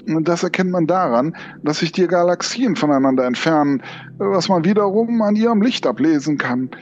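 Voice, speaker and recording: A man's voice: on the high side, expressive, moderate pace, a little mellow, lo-fi sound, lightly acted. A man playing a knowledgeable guardian creature in an audio drama, lecturing precisely with small hesitations. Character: second guardian of time, knowledgeable, pedantic creature.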